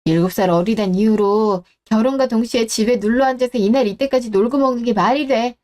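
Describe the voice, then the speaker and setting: A woman's voice: fairly high pitch, fairly quick, a little mellow, lightly acted. A woman playing a blunt, exasperated middle-aged daughter who nags and complains in a radio drama. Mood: indignant. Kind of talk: Korean radio-drama story acting.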